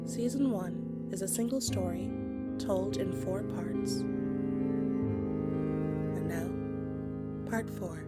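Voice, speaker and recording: A woman performing intimate second-person audio fiction, expressive and often hushed, with deliberate pauses between short phrases. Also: medium pitch, loud music behind the voice. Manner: expressive, swinging from soft musing to emphatic bursts.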